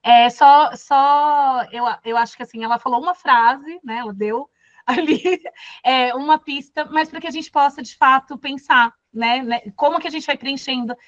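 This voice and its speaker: Brief: woman from Brazil, course facilitator, slightly high voice, dry and dull lo-fi video-call sound, reflective delivery. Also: normal speed, no echo. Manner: thoughtful, many fillers and restarts. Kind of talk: casual classroom talk.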